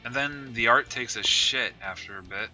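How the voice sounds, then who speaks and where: Bright, forceful man bantering on a podcast. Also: mid-range voice, normal speed, low-quality recording. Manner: sweary, incredulous, laughs often. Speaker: podcast co-host. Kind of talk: casual comic-review banter. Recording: music playing behind the voice.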